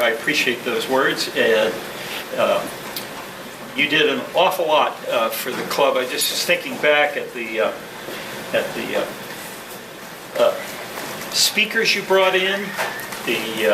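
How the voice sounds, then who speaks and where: A man giving a modest, deliberate and halting informal speech as a club president at a lectern, picked up from a distance in a noisy room. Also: mid-range voice, expressive, roomy sound. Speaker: newly elected club president.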